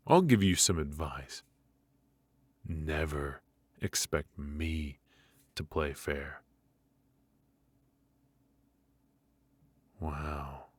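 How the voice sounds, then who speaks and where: An extremely low-pitched mafia boss, acted by a man for an ASMR roleplay, recorded close and dry. He is smug and menacing, teasing his captive with courteous, ruthless words at an unhurried pace. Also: dry recording, heavily acted. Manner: smooth-talking, teasing, deliberate with drawn-out pauses.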